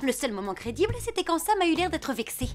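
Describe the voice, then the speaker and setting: Haughty high-voiced cartoon cat. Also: female voice, very animated, fast, faint music behind. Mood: scornful, instructing. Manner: disdainful, drawling, superior. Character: haughty, blunt, self-centred pet cat. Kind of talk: French animated cartoon dub.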